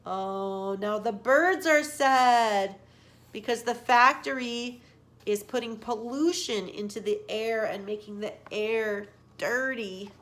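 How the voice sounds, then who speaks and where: A woman's voice: fairly high pitch, takes it slowly, a bit bright. A woman reading a children's story expressively, with emphatic, teacherly phrasing aimed at young listeners.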